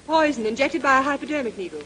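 Brisk, high-voiced lady detective. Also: lively intonation, fairly bright tone. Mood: calm, businesslike. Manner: crisp, composed, questioning. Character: brisk, composed young female detective. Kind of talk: 1930s radio drama acting. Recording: noisy vintage 1930s radio recording.

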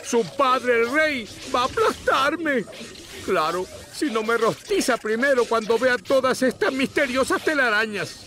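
A male actor playing a fretful, dramatic crab court conductor in an animated game, exasperated and theatrical. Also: extremely high pitch, unhurried, exaggerated voice. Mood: distraught. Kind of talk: animated game character acting.

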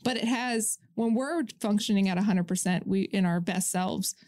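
Woman guest, thirties, lively storyteller, warm mellow tone. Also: medium pitch, normal speed. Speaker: guest, photographer. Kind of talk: casual interview chat.

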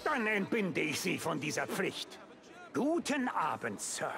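An actor playing a formal, indignant Victorian prime minister with an oratorical manner in a video game. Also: male voice, fairly high pitch, wildly swooping pitch, unhurried, vocal fry, over music, full character voice. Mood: oratorical, stiff.

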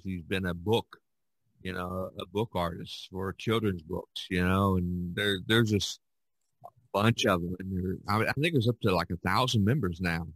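A man's voice: low-pitched, a bit bright, no echo, low-quality recording. A man hosting a podcast, chatting casually in a rambling, conversational way. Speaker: podcast host. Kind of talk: casual chat.

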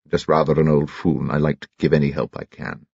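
A man's voice: very deep voice, little pitch movement, fast, vocal fry, darkish tone, slightly rough, no room sound, lo-fi sound, full character voice. A man voicing a tall, pompous, soldierly middle-aged husband with a disapproving manner. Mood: mild.

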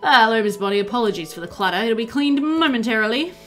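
A woman's voice: a little high, lively intonation, fast, soft background music, natural voice. A woman reading aloud a polite, formal male librarian with a cowboy air from a video game. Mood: courteous. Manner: formal, courteous cowboy phrasing.

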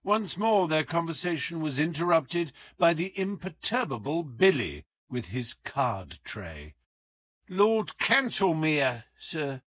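A man reads literary fiction as an audiobook narrator. His mid-pitched delivery is slow and richly varied in intonation, with very little room sound. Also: sing-song, no echo.

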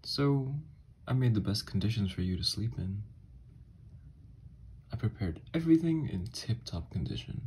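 A man voicing an obsessed, unhinged kidnapper scientist in an ASMR horror roleplay, soft and breathy, coaxing gently with quiet menace. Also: lowish voice, sing-song, moderate pace, long pauses, slight background noise. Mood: doting, intimate. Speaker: ASMR audio roleplay voice actor. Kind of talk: ASMR horror roleplay monologue, partly whispered.

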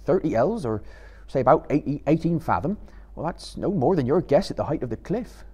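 A man's voice: fairly high pitch, very animated, fairly quick, dark tone, husky, pitched above the performer's own voice. A man voicing a loyal, plain-spoken, cautious hobbit gardener with rustic, chatty phrasing in a live storybook reading. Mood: reluctant.

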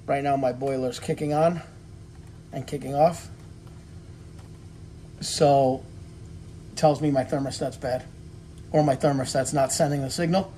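A man's voice: mid-range voice, fairly even intonation, measured pace, pauses often, mellow, a little hiss or hum. A man working as an HVAC technician, talking casually to viewers through a mask while fixing a heating system, swearing now and then. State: wearing a mask. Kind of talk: casual ride-along vlog. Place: homes, boiler room, then a car.

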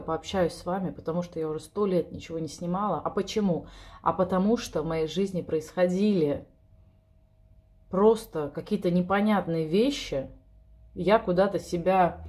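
Woman, 30s, chatty, self-ironic, home kitchen vlog, camera on table, lowish pitch. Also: darkish tone. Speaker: vlogger. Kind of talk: spontaneous casual chat to camera. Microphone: camera or phone on the table.

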